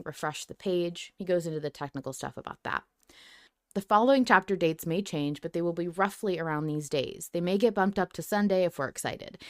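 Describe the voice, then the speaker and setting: A woman hosting a podcast in casual, informal chat, with an excitable, sweary streak. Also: lowish voice, clean tone, no echo, clean studio sound. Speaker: podcast host. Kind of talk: casual podcast chat, reading announcements aloud.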